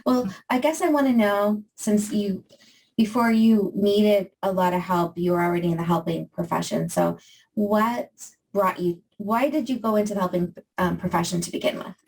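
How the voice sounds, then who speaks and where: A woman's voice: mid-range voice, moderate pace, creaky, recorded from a distance, a little hiss or hum, rough recording. A woman from Maine hosting an interview podcast, asking questions with frequent fillers. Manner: warm hesitant questions with fillers. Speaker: podcast host.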